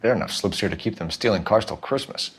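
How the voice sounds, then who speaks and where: Low-voiced, stern police detective played by an actor. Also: male voice, fast, a little hiss or hum, lightly acted. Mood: cold, pressing. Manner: commanding, clipped interrogation. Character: stern, driven male police detective, hard-nosed and controlled. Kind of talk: cinematic video game acting.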